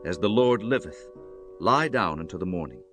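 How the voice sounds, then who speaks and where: A man's voice: sits low, animated, normal speed, fairly bright tone, faint music behind. A man playing an earnest, honorable biblical landowner in solemn, declamatory scripture drama. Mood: gentle, reassuring. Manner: solemn, declamatory, archaic formal speech. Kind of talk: dramatized scripture audiobook acting.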